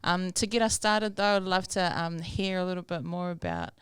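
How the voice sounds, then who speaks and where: Podcast host, female, New Zealand accent, resonant lower register, informal, peppers English with Māori words. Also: lively intonation, normal speed, clean tone, no echo, clean studio sound. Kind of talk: casual interview hosting.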